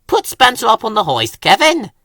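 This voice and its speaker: A man acts out an eager young male tank engine from a children's storybook, pitched up and swinging widely. He is bossy and full of his own importance, stretching his words for emphasis. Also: huge pitch swings, normal speed, pushed and bright, no echo, studio quality, full character voice. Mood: bossy, proud.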